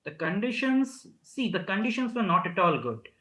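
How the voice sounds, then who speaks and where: A man with an Indian accent explaining formally and haltingly as an advocate in a news interview. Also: high-pitched, lively intonation, bright, forceful tone, roomy sound. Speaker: lawyer and human rights advocate being interviewed.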